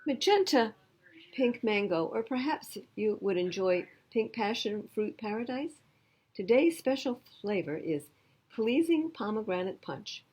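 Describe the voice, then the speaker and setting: Storybook ice cream man, played by a woman: raised pitch, courteous, eager, singsong sales patter. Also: big pitch swings, measured pace, darkish tone, breathy edge, full character voice. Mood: cheerful, eager. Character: Mister Swizzle, cheerful courteous male ice cream seller. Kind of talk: storybook character reading.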